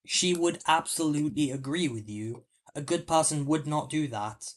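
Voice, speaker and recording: A man's voice: fairly high pitch, normal speed. A man hosting a casual podcast, debating a film with a co-host and often restarting his sentences. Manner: talks in restarts, steers the discussion.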